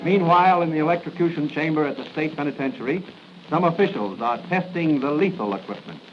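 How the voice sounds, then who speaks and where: An actor as a dramatic narrator of a 1940s radio serial, on a muffled, noisy recording. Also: male voice, a little high, expressive, fairly quick, lightly acted.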